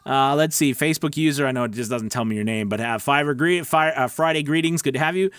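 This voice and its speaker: A man's voice: mid-range voice, fast, fairly bright tone, smooth, dry recording, clean studio sound. A man giving casual, conversational instruction as a livestream coach, often checking in with his listeners. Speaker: voice-over coach hosting a weekly livestream.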